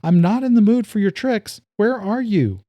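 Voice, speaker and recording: A woman's voice: medium pitch, lively intonation, moderate pace, dark tone, dry recording, clean studio sound, pitched above the performer's own voice, close to an everyday voice. A man voicing an exasperated young policewoman. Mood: worried. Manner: pleading, exasperated and firm. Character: tense young woman cop, exasperated, protective.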